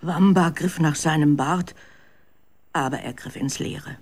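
A woman narrating a children's story in a dramatic, expressive scripted style. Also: low-pitched, unhurried.

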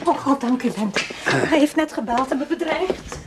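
A woman's voice: high voice, expressive, normal speed, very noisy. A woman acting a distraught, pleading wife in a radio play. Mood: panicked. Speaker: radio drama actor.

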